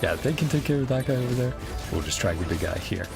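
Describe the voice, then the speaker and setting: A man's voice: medium pitch, animated, quick pace, creaky, music in the background. A man streaming gameplay, chatting casually and playfully with muttered asides and short exclamations. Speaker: game streamer reacting to a story quest. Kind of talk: casual gameplay reaction and reading game text aloud.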